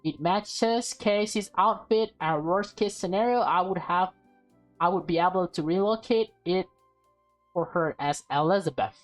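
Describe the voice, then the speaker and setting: A woman with a slightly low voice reads a story game aloud at a slow pace. Her delivery is casual and halting, with stumbles and self-corrections. Faint music plays underneath. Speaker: gameplay streamer reading a visual novel aloud. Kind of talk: casual let's-play read-aloud with commentary.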